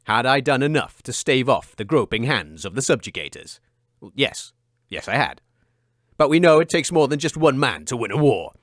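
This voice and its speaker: A man's voice: medium pitch, very animated, normal speed, a bit bright, no echo, clean studio sound, pitched below the performer's own voice. A man voicing a self-important heroic adventurer, narrating pompously in melodramatic radio serial style. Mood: grandiose. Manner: pompous, dramatic. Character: Roger Cock, self-important heroic male adventurer storyteller.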